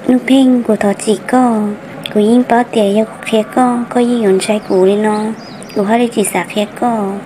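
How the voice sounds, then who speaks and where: A woman voicing a young woman in an amateur folk-tale cartoon dub, speaking in drawn-out, chanted phrases. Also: a little high, fairly even intonation, noisy background, lightly acted. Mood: earnest. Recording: dub with loud background music.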